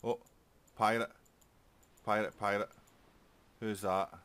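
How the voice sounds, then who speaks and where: A man with a low-pitched voice hosts a casual let's play. He chats informally and thinks out loud as he plays. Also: flat delivery, slow pace, clean tone. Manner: chatty, thinking aloud while playing. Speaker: gaming channel host.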